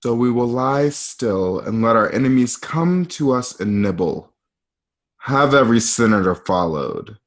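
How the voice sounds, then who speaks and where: A brooding Roman emperor, played by a man in a radio drama. He is frustrated and scheming, with a voice of middling pitch. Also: animated, a little slow. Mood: cold, scheming. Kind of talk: online table-read radio drama acting.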